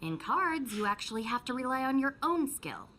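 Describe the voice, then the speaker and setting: A woman's voice: high voice, very animated, moderate pace, bright, forceful tone, slight background noise, full character voice. A woman playing a dry, sensible, exasperated young gang deputy in a video game, blunt and matter-of-fact.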